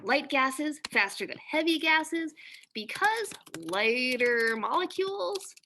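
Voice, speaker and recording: A woman in her thirties, a physics teacher giving a recorded lesson, chatty and quick to laugh, filmed at a laptop camera in a classroom. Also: high voice, animated, sharp tone, slight background noise. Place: classroom with whiteboard.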